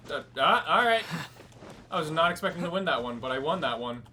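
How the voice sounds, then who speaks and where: A man's voice: fairly high pitch, sing-song, fairly quick, a little hiss or hum. A man doing casual gameplay commentary as a streamer, with wry muttered asides and swearing. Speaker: game streamer. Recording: loud game music and effects behind.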